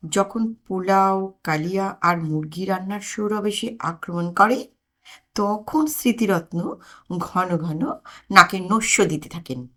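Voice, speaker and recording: A woman narrating a comic story as an audiobook storyteller, in an expressive scripted style. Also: mid-range voice.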